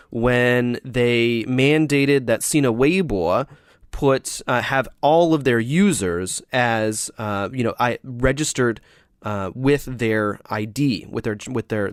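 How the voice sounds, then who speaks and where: A man's voice: mid-range voice, no echo, studio quality. A man from the United States co-hosting a podcast, talking casually with many fillers and restarts. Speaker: podcast co-host. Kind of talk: casual chat.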